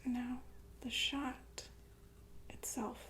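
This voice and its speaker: Slow-whispering killer nurse played by a woman. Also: on the high side, level tone, sharp tone, full character voice. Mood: calmly menacing. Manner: slow, halting, casually polite about menace. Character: softly menacing killer nurse, falsely soothing. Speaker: ASMR performer. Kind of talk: whispered ASMR roleplay, addressed to listener.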